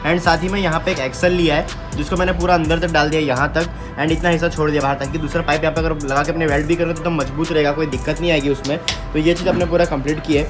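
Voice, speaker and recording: Fast-talking young man showing his workshop build. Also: a little high, steady tone. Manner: mixes Hindi with English words, explains step by step. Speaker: vlogger building a custom motorbike. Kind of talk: casual walkthrough explaining work to camera. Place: metal-walled garage workshop, indoors. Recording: music playing under the voice. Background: background music.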